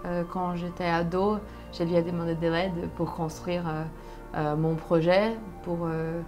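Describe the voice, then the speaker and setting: Young woman, Brazilian accent, native Portuguese, low pitch, fairly flat intonation, halting conversational storytelling. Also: faint music behind. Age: young adult. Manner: halting, searching for words. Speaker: interview guest, solo sailor.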